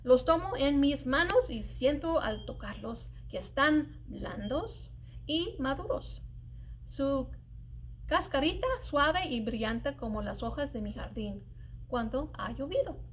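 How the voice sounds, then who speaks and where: A middle-aged woman with a notably high voice reads a picture book for children. She takes her time, stretching key words in an expressive storytelling manner. Faint room noise sits behind her. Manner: animated, deliberate storytelling with drawn-out emphasized words. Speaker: library storyteller. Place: indoors in a library children's room. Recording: light background room noise.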